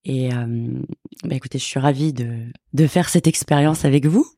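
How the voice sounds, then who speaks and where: A middle-aged woman with a low, warm voice explains things carefully, pausing often on filler sounds. The sound is close and dry. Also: dry recording. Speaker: guest astrologer. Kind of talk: explanatory talk.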